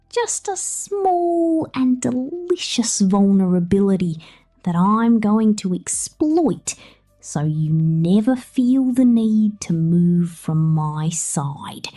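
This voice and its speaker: A woman playing a controlling, possessive technician in an ASMR roleplay, speaking softly and often in an intimate whisper. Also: mid-range voice. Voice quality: soft, frequently whispered. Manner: slow, intimate, domineering. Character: possessive, controlling female technician doting menacingly on a robot. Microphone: close ASMR microphone.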